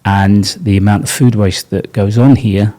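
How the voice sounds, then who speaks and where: A man's voice: lowish voice, steady tone, normal speed, mellow. A man speaking as a panel guest from industry, earnest, with frequent ums. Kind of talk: casual panel discussion.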